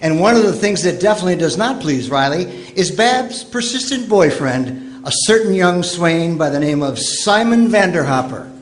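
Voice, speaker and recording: A man's voice: sits high, expressive, moderate pace. A man announcing and narrating in scripted old-time radio style. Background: music.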